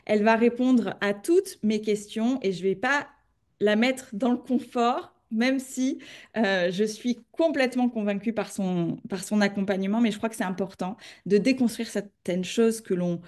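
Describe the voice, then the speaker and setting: A woman's voice: mid-range voice, normal speed, a little mellow. A woman hosting a wellness podcast interview, chatty and enthusiastic in her questions. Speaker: podcast host.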